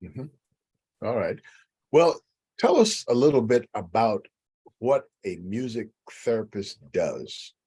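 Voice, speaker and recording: A man hosting a public interview, speaking in a measured, inclusive way with frequent uhs. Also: lowish voice, very animated, mellow, breathy edge. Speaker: interviewer, host.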